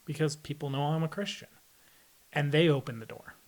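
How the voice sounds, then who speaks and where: Guy being interviewed on a podcast, kinda higher voice, explains stuff plainly and thoughtfully. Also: brisk. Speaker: podcast guest, air traffic controller. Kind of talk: candid interview conversation.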